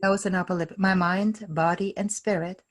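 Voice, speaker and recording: A woman's voice: medium pitch, fairly even intonation, moderate pace. A woman leading a tapping session, calling out tapping points and giving short phrases for someone to repeat. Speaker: EFT tapping practitioner.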